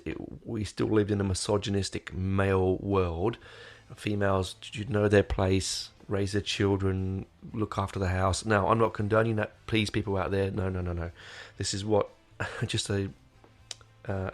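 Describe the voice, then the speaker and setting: A man hosting his podcast in casual, rambling talk, full of fillers and restarts, sounding nasal while getting over an illness. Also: fairly low pitch. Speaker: podcast host. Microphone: desk microphone.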